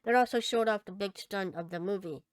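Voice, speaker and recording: Clear-voiced woman co-hosting a podcast. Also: mid-range voice, brisk, no echo, clean studio sound. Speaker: podcast co-host. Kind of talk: casual podcast chat, reading out movie news.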